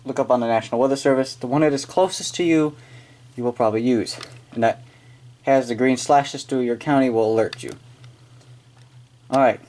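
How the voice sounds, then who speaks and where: An American man giving a casual, halting, off-the-cuff hands-on product demonstration as he unboxes it on camera. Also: medium pitch, darkish tone. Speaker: amateur unboxing video maker. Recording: noisy amateur room recording with clicking.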